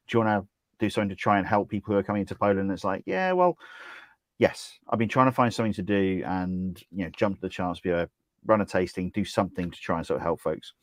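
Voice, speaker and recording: A middle-aged man with a very low voice co-hosts a whisky tasting over a video call. His humour is dry and wry. The sound is close and dead, with no room around it. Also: dry recording. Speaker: co-host, drinks ambassador. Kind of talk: casual livestream chat.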